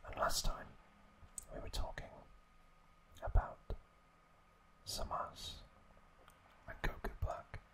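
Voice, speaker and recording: Slow, whispering male ASMR host. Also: low-quality recording. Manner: hushed, slow, drawn-out phrasing.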